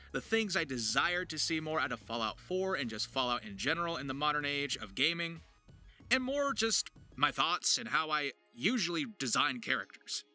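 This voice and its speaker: A man's voice: on the high side, sharp tone. A man narrating a video to his viewers as a voice actor and writer, in a chatty, emphatic, informal way.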